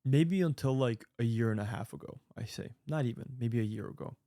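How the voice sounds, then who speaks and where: A man's voice: mid-range voice, fairly quick, warm tone, no echo, clean studio sound. A man in his late twenties giving casual, earnest advice to viewers, rambling with fillers and restarts. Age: 20s. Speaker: online creator answering viewer mail.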